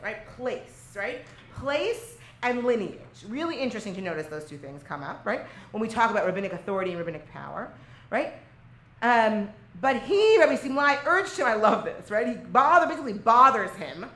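A woman teaching a religious text class in an emphatic, interactive way, peppering her talk with quick check-in questions. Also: fairly high pitch. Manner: animated, emphatic, peppers talk with "right?" and "okay?"; switches between English and Aramaic or Hebrew quotation. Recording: echoey room with clear background noise.

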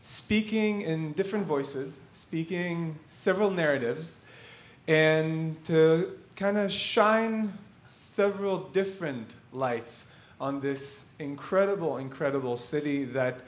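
A man's voice: on the high side, slow. Man lecturing in an echoey hall. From Israel. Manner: conversational, earnest, with restarts. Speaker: guest speaker, photographer. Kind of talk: public lecture.

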